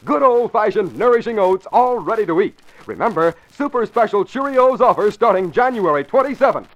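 An American man announces in 1940s radio style, selling and signing off from a script. His voice is high and very animated, on a dull vintage recording. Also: a little fast.

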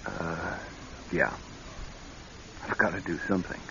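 An actor playing a haunted, edgy husband in a radio drama, troubled and strained, swinging from subdued to snappish. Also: male voice, low voice, unhurried, creaky, bright, forceful tone, full character voice. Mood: edgy, defensive. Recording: muffled, hissy old radio recording.

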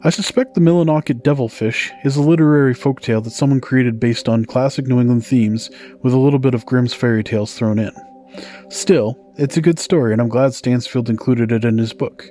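A man's voice: medium pitch, a little fast, mellow. A man hosting a podcast, narrating folktales from a script in a storytelling style. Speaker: podcast host. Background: music.

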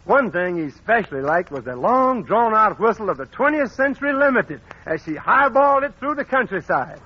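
High, lively old-time radio announcer. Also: male voice, brisk, background noise. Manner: chummy, folksy and playful. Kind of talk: scripted storytelling narration. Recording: dull 1940s radio broadcast.

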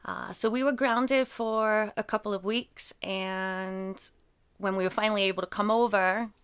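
A woman's voice: medium pitch, fairly even intonation, a little slow, fairly bright tone, smooth. A woman from England in casual conversation as an interview guest, with a mostly American accent that carries occasional English traces. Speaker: podcast guest, artist.

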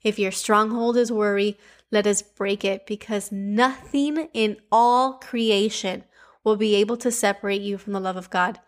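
A woman's voice: mid-range voice, measured pace. A young woman with a Cuban accent hosting a devotional podcast, teaching scripture and leading prayer in an earnest, emphatic way.

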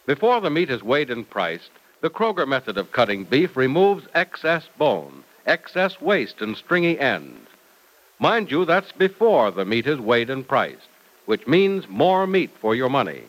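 A man announcing and pitching a product on 1940s radio, emphatic and salesy. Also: big pitch swings, measured pace, fairly bright tone, low-quality recording.

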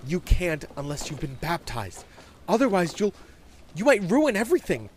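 A man's voice: high voice, sing-song, moderate pace, slight background noise, pitched above the performer's own voice. A man voicing an eager, proud young boy in a narrated story, earnest and prone to stammering. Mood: insistent.

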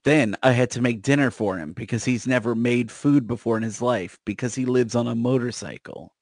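A man's voice: mid-range voice, a little fast, darkish tone, dry recording, clean studio sound. An American man co-hosting a comedy podcast, chatting casually in rambling, profane riffs that restart their phrases. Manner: rambling, repeats phrase openings, profane riffing. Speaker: podcast co-host. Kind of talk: casual comic chat.

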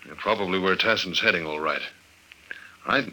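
An actor playing a tough, wry American investigator in an old-time radio drama, with a brisk, wisecracking delivery. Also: male voice, deep voice, pushed and bright, full character voice, low-quality recording. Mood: wry, probing. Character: tough, wry, determined male investigator narrating his case. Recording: vintage radio drama recording.

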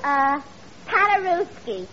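High-pitched brassy New gal, old radio. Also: female voice, very animated, slow pace, heavily acted. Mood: earnest. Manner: brassy, earnest malapropisms, combative. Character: brassy, dim-witted, argumentative young single woman. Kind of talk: 1940s radio comedy acting. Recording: muffled old radio broadcast, noisy, studio audience.

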